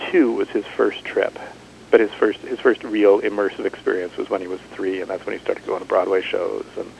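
Middle-aged man from Canada, gravelly low voice, halting restarts, faint background hiss. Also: a little mellow, low-quality recording. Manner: thoughtful, halting, many restarts. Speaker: author. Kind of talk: radio interview.

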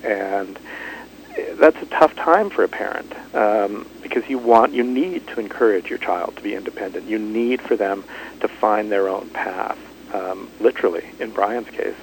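A middle-aged man from Canada, an author, speaking in a radio interview, thoughtful and halting with many restarts. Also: on the low side, slight background noise, rough recording.

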